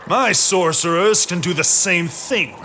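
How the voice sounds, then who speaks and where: An actor playing an arrogant, mocking, stubborn Egyptian Pharaoh in a dramatized children's Bible story. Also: male voice, sits high, measured pace, slight background noise. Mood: irritated, haughty. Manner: haughty, scoffing. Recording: loud music behind the voice. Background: music.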